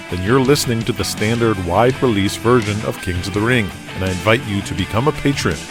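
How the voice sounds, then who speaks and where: A man narrating and hosting a scripted audio drama, with music behind him. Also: lowish voice. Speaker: narrator and show host.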